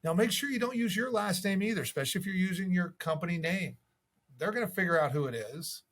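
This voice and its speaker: A man's voice: sits high, lively intonation, fast, fairly bright tone. A man giving instructional sales tips as a coach, in a conversational manner. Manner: conversational, acts out sample calls. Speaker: real estate sales coach.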